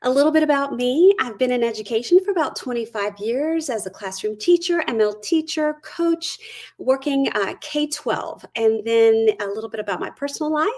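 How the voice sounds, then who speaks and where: A woman's voice: on the high side, animated, normal speed, a little mellow. A woman in her forties from Brazil, a curriculum lead giving an upbeat webinar presentation with personal stories over a computer mic. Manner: upbeat, eager, personal storytelling.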